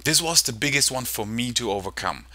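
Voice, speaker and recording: A man with a German accent giving a fast, pumped-up sales presentation as an online marketing coach. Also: mid-range voice, expressive, sharp tone, no echo.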